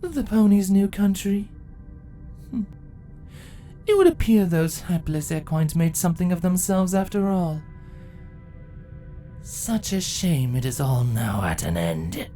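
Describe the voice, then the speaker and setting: A woman voicing an ancient changeling empress from a fantasy story, sadistic and mocking, speaking slowly and deliberately. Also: lowish voice, animated, dark tone, faint music behind. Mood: amused disdain. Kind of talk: dramatic fan fiction voice acting.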